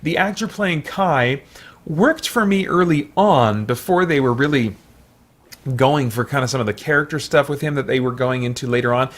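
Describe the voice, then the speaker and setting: A man's voice: mid-range voice, normal speed. Rambling male movie reviewer. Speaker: movie reviewer, video host. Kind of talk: casual unscripted film review.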